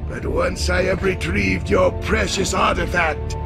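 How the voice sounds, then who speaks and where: An actor playing a boastful, scheming robot lieutenant in a game cutscene, with a theatrical, pompous delivery. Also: male voice, high voice, fairly even intonation, unhurried. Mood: defiant, indignant. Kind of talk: video game cutscene acting. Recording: music clearly behind.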